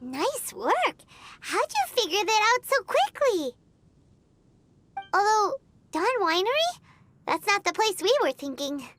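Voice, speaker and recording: A woman voicing a tiny, chirpy, excitable girl companion in a video game, with a squeaky childlike voice. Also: takes it slowly, pauses often, exaggerated voice. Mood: curious, surprised. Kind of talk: English video game voice acting.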